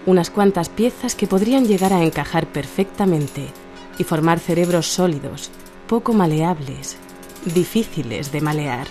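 A detached female narrator, played by an actress speaks in a lowish voice with faint music behind her. Her poetic radio monologue comes in measured, fragmented, reflective phrases. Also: expressive, warm tone, lightly acted. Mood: cool, reflective.

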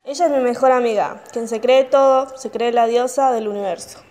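A woman's voice: fairly high pitch, steady tone, brisk, mellow. A woman reading scripted first-person narration in an amateur radio play.

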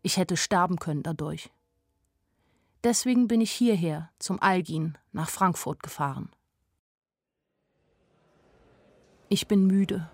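Lower-pitched frank, weary young woman. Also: a little slow, no echo, studio quality. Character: Sanna, weary, frank young woman narrating her life. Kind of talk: first-person radio play narration.

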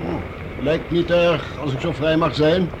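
A man's voice: a little high, fairly even intonation, a little slow, lo-fi sound, background noise. An actor playing a polite, talkative male guard at an underground station, courteous and deferential in conversational radio play style. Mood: courteous, official.

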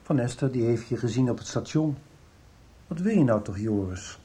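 An actor playing a subdued, quietly reproving middle-aged father in a radio soap. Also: mid-range voice, lively intonation, moderate pace, close to an everyday voice. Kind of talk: 1990s Dutch radio soap acting.

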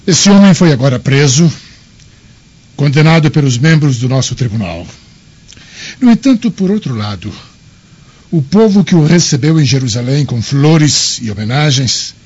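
A man playing an authoritative, troubled Roman governor in a radio drama, with formal, deliberate delivery. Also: medium pitch, darkish tone, breathy edge. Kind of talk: dramatized radio play acting. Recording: muffled lo-fi radio drama recording.

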